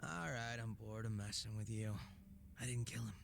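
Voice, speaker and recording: A man's voice: fairly low pitch, flat delivery, moderate pace, creaky. A man playing a laid-back, sardonic former gang boss in a video game cutscene, talking casually with teasing and profanity. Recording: music under the dialogue.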